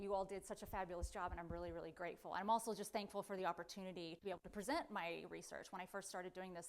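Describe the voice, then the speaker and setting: A middle-aged woman with a booming voice addresses a library audience through a microphone. Her delivery is chatty and self-deprecating. She often backtracks with fillers and restarts as she lays out her talk. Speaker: guest speaker, independent researcher. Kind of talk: public lecture. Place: library event room. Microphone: handheld or podium microphone.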